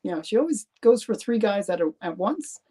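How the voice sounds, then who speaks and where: A Canadian woman with a Canadian accent hosting a live stream, talking in a rambling, halting way with lots of fillers and restarts. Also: medium pitch, sing-song, warm tone, husky. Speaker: comic collector host. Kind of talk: casual live stream show-and-tell.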